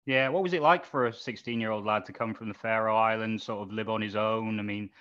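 A man's voice: fairly low pitch, fairly even intonation, a little fast, a bit bright, clear, resonant tone. A man hosting an interview, asking questions in an informal, chatty, casual way. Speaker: interviewer, podcast host. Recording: dull, lo-fi sound.

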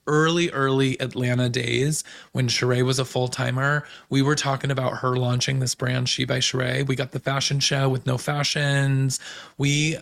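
Hoarse, gravelly-voiced man, chatty. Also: medium pitch, a bit bright. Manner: chatty, gossipy, fast asides. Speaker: podcast host. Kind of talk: casual pop culture recap.

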